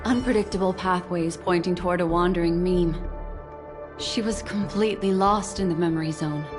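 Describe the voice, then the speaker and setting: An enigmatic female game character voiced by an actress with a lowish voice. She is poised and knowing, speaking in measured, elegant phrases over clear background music. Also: natural voice. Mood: grave, poetic. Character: composed, enigmatic, analytical woman with knowing poise. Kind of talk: video game voice acting.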